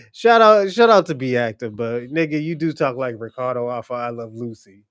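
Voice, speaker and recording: A man hosting a livestream, reacting in loud, laughing, slang-heavy casual commentary. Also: mid-range voice, animated, measured pace. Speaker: livestream host.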